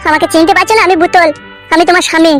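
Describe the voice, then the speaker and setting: A frantic young cartoon husband pleads in a very high, artificially lifted voice. The words tumble out very fast in coarse speech, with music playing clearly behind. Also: female voice, fairly even intonation, a bit bright. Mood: tearful pleading. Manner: pleading, coarse village talk. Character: frantic, pleading young village husband, foul-mouthed and whiny. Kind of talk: comic cartoon dub.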